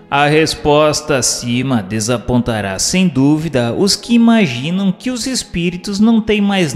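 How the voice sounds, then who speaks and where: Reverberant, higher-pitched male narrator, very expressive. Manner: formal, didactic. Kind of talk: scripted reading of book commentary. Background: faint music.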